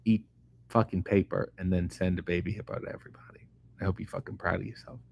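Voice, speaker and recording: A middle-aged man, a comedian host, reading viewer comments aloud and answering them in a casual, profane, deadpan way. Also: low-pitched, fast talker, creaky, slightly rough. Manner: profane, sardonic, deadpan asides. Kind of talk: casual chat answering live chat questions.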